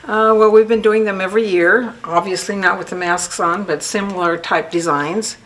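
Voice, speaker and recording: A woman artist giving an informal interview on location in a gallery, with halting, hesitant phrasing. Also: medium pitch, steady tone, fairly bright tone, rough recording. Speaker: local artist being interviewed. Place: art gallery. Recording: noticeable background ambience noise.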